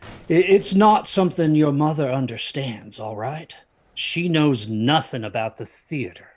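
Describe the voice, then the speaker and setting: A man playing an uneasy parent in an audio drama, speaking in a halting, ominous, husky way that drops toward whispers. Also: fairly high pitch, lively intonation, darkish tone. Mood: anxious, dismissive. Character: uneasy parent warning children about haunted theaters.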